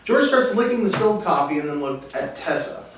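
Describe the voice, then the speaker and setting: A man narrates stage directions from a script in a fairly high voice. He is distant from the microphone, in a very echoey room. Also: lively intonation, a little fast. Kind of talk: scripted narration at a table read. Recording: very echoey room, distant mic, faint hiss.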